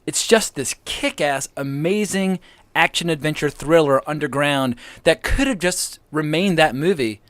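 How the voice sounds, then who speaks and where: Enthusiastic American man, fairly high-pitched voice. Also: a little slow, fairly bright tone, dry recording. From United States, near the Appalachian Mountains. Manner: enthusiastic, articulate, emphatic. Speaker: guest co-host, film podcaster. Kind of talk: casual film discussion.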